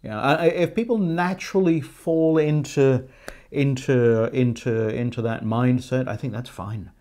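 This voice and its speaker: A middle-aged man from Hong Kong, a guest in a casual indoor chat, talking haltingly, repeating words, with a dry teasing humor. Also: mid-range voice, warm tone. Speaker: guest, the host's husband. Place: indoor studio set with a painted brick wall.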